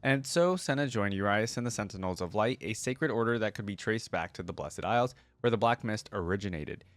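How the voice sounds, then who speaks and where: Low-voiced man hosting a reaction video. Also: expressive, fairly quick, no room sound. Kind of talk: casual reaction commentary mixed with reading lore aloud.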